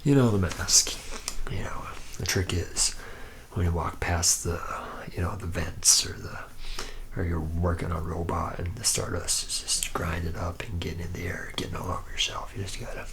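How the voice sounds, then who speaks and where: A man's voice: steady tone, moderate pace, a bit bright. A man playing a jaded, boozy factory worker in an ASMR roleplay, rambling in a hesitant, sardonic drawl that often drops toward a whisper. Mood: laid-back, sly. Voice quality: gravelly, low, partly whispered.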